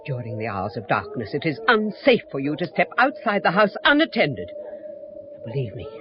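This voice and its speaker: A woman's voice: low-pitched, huge pitch swings, normal speed. An actress playing a stern, haughty middle-aged lady of a country house in a radio drama. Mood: icy, formal. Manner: haughty, formal, commanding. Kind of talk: 1970s radio drama acting. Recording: muffled vintage radio recording, music behind.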